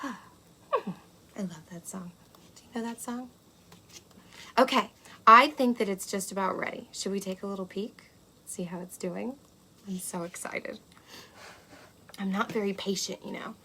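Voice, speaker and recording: A woman playing an excitable, gentle unicorn who presents a children's cooking show, talking to young kids with wonder and breathy asides. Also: mid-range voice, measured pace, long pauses. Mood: delighted, eager. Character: excitable, gentle, impatient female unicorn hosting kids' show. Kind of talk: children's cooking demonstration to camera. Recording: clear steady background noise.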